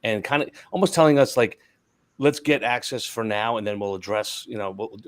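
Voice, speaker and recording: A man's voice: fairly low pitch, brisk. A man hosting a casual panel discussion on IT, often repeating words and restarting sentences. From Long Island, New York. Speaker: show host, IT provider.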